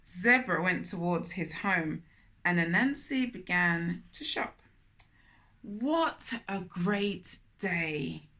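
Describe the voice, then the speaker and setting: A woman reading a children's story aloud in an expressive manner, often turning to question young listeners directly. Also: lowish voice, takes it slowly. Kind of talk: animated children's read-aloud with asides to listeners.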